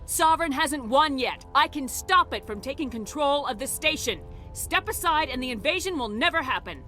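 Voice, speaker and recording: A resolute high-voiced space commander. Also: female voice, animated, normal speed, pushed and bright, faint music behind. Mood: urgent, defiant. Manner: firm, commanding. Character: resolute female space commander, steadfast hero. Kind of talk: video game cutscene acting.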